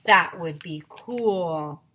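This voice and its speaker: A woman's voice: on the low side, extremely slow, sharp tone, exaggerated voice. A woman voicing a chatty schoolboy who muses and complains, from a children's storybook. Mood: agreeing. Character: chatty, easily impressed schoolboy, Michael.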